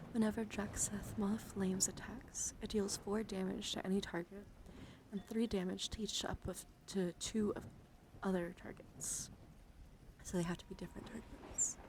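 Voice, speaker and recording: Whispery woman streaming ASMR, creaky voice. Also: medium pitch, noisy background. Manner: soft, breathy, often drops into whispers. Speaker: livestream host. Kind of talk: ASMR livestream, whispering and soft talk to chat.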